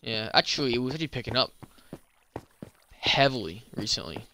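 A man's voice: mid-range voice, sing-song, a little fast, sharp tone, slight background noise. A young man streaming a game and chatting casually, stumbling over words, slurring a little, with a slight lisp. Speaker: gaming YouTuber and streamer. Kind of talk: casual gameplay chat.

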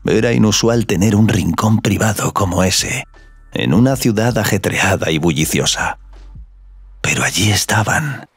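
A man narrating scripted audio fiction in a slow, sensual storytelling manner. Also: low voice, vocal fry, breathy edge, dry recording.

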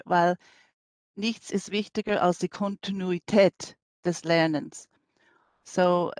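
American woman speaking halting German. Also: lowish voice, no room sound. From New York City. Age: middle-aged. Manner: hesitant, many fillers, non-native German phrasing. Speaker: language school director. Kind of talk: online conference presentation.

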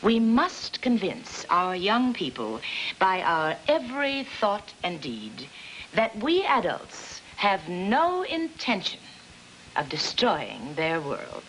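Earnest mother, animated delivery. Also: mid-range voice, takes it slowly, a little hiss or hum, heavily acted, poor audio. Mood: earnest, declamatory. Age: middle-aged. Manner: declamatory, grandly earnest. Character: earnest, self-important suburban mother rehearsing her speeches. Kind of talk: 1950s American film acting. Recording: 1950s film soundtrack with music behind.

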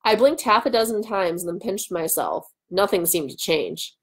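A woman in her thirties reads a first-person story aloud. She acts out the dialogue as she goes, at a middle pitch. It is recorded on a webcam mic in a small room at home. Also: lively intonation, moderate pace, breathy edge. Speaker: author and host. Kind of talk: animated live reading of a first-person story. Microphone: webcam mic at arm's length.

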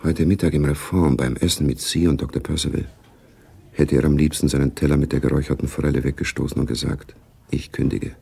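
A man's voice: rumbling low, little pitch movement, quick pace, creaky, a little mellow, a little hiss or hum, heavily acted. A man narrating a radio play spy story in a grave, knowing, literary manner. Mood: brooding.

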